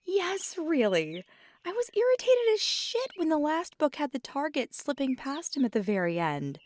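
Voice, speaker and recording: A woman playing a feisty, affectionate magical girlfriend in an ASMR roleplay, talking casually and often dropping to a breathy murmur. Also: high voice, very animated, moderate pace, darkish tone. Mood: playful, animated. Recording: clear background noise with birdsong. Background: birdsong and outdoor ambience.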